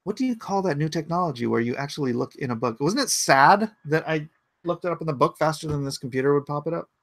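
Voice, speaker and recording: A man's voice: fairly high pitch, fast talker, fairly bright tone, dry recording. A man in his forties hosting a casual livestream from his home computer, rambling and thinking aloud as he answers chat comments. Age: 40s. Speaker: livestream host.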